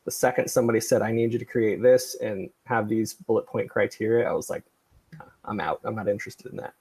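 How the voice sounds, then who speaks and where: Middle-aged male livestream host, husky with vocal fry, digressive and self-effacing. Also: lowish voice, steady tone, brisk, a little mellow. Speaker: podcast creator and livestream host. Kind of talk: casual livestream chat.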